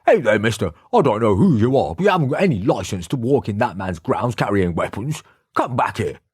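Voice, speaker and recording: A man reading aloud who voices a stout, blunt male detective with a husky, folksy manner. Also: on the low side, big pitch swings, moderate pace, darkish tone, dry recording, clean studio sound. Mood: commanding. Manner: folksy, gruff, ungrammatical.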